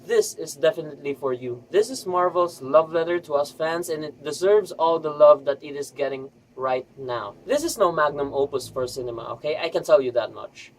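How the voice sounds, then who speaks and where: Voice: medium pitch, lively intonation, moderate pace, few pauses, rough recording. A vlogger giving an enthusiastic, scripted review in a chatty, emphatic way with rhetorical asides.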